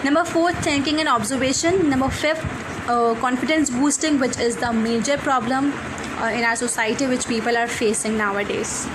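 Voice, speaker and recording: A woman's voice: high-pitched, steady tone, moderate pace. Earnest Pakistani woman teacher, noisy recording. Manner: earnest, many fillers, list-like delivery. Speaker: online English teacher. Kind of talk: course introduction.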